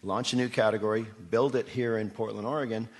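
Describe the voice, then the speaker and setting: A man's voice: lowish voice, fairly even intonation, quick pace. A man speaking as an executive guest in a live radio interview, telling stories in a conversational, enthusiastic and persuasive way. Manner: enthusiastic, persuasive, poses rhetorical questions to listeners.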